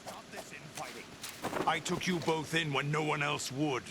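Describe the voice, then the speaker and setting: A man's voice: on the high side, unhurried, very noisy, close to an everyday voice. An actor playing a stern male warlord who gives orders with authority, in a video game cutscene. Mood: commanding. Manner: commanding, stern. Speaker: leader of a mercenary group.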